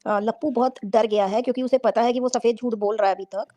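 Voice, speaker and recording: Quick-talking woman with a mellow tone. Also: fairly high pitch, fairly even intonation. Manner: colloquial, explanatory, rhetorical questions. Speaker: panelist giving commentary. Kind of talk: casual reaction commentary.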